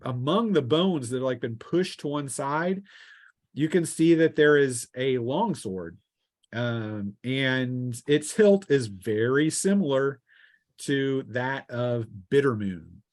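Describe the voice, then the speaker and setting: A man running a tabletop fantasy game as game master, improvising narration and often pausing mid-sentence. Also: mid-range voice, expressive, a little mellow, no echo.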